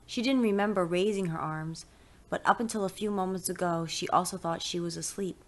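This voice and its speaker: A woman's voice: lowish voice, a little fast. A woman reading a scripted short story aloud as its narrator.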